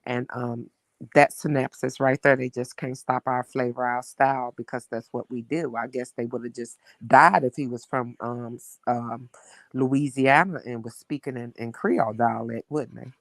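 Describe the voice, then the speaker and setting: Man, middle pitch, dry sound, casual commentary, hesitant deliberate delivery. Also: steady tone, creaky, fairly bright tone, dry recording.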